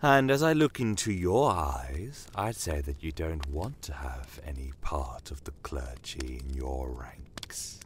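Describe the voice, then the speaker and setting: Non-human commander for fantasy audio drama, male actor: very slow, measured, courteous menace, shrewd and bitter. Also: extremely low pitch, lively intonation, slight background noise, voice pushed down, heavily acted. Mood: controlled anger. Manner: measured, theatrical, pointed rhetorical questions. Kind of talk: dramatic first-person audio story narration. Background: battle aftermath ambience.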